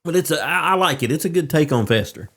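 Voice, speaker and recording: A man in casual podcast conversation as a co-host, speaking haltingly and repeating words. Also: fairly high pitch, lively intonation, gravelly, fairly bright tone. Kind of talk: casual chat.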